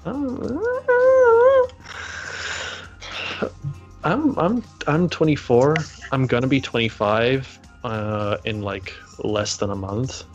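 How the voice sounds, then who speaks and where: A man's voice: medium pitch, very animated, very slow, pauses often, dull audio, faint music behind. A man playing a casual voice-chat roleplay, who laughs often and stumbles over his words. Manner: giggly, stammering restarts. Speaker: roleplay player.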